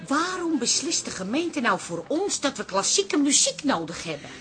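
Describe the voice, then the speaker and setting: An actress playing a stubborn, down-to-earth pub landlady in a radio drama, plain-spoken and quick to defend herself. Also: high voice, big pitch swings, a bit bright. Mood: indignant.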